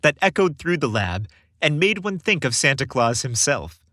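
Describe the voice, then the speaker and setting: Guy reading a story podcast, really expressive pitch, dry close sound. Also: moderate pace, dry recording. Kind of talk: dramatic scripted storybook narration.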